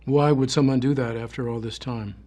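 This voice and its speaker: An actor playing a gruff, skeptical bureau boss in an audio drama, with a husky voice and brusque, authoritative manner. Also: male voice, medium pitch, steady tone, fairly quick, warm tone, lightly acted. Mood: impatient.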